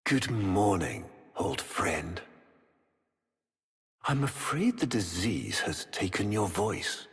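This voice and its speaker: A man playing a cruel, haughty aristocratic Executor villain in a video game, with theatrical, measured, formal diction and silky menace. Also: low voice, big pitch swings, fairly bright tone, full character voice. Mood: mock-genial. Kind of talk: dramatic video game character acting. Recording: long echoing hall reverb, some noise.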